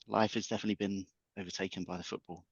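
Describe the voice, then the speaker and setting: Male guest, lowish voice, joking football banter, dull dry line. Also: little pitch movement, racing, gravelly, breathy edge, no echo. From England. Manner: playful, heavy on football metaphors. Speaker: guest market analyst. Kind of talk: podcast chat.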